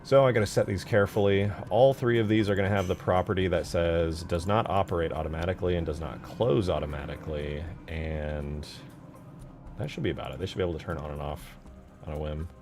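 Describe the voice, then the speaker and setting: A low-pitched man talks through his work on a game mod for a live audience. He speaks casually and with slang, thinking out loud as he goes. Music plays clearly behind his voice. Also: a little fast. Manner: thinks aloud, informal slangy talk. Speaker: game modder streaming. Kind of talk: casual livestream commentary while building, answering chat.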